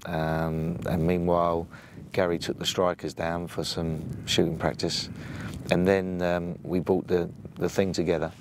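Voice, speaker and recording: A booming, gravelly English man in his sixties, speaking as an assistant football coach in an outdoor interview. His voice sits low, and he talks plainly, with frequent ums and restarts. He is recorded by an off-camera mic beside a training pitch, with faint noise behind him. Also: flat delivery, unhurried. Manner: plain-spoken, hesitant with many ums and restarts. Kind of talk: sit-down interview. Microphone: off-camera mic, no lapel.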